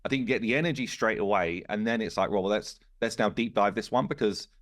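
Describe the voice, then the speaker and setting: A man's voice: lowish voice, fast, fairly bright tone, no room sound, studio quality. A man talking casually as a podcast host, explaining things informally and voicing imagined dialogue as he goes. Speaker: game studio founder and podcast host.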